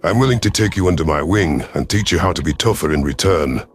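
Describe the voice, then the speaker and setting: A man voicing a very deep, commanding alien warlord. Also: animated. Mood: shrewd, commanding. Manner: formal, measured, authoritative. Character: commanding alien predator rebel leader, male, shrewd and stern. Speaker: military chief narrating his own story. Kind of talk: audio drama narration and dialogue. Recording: music behind the voice.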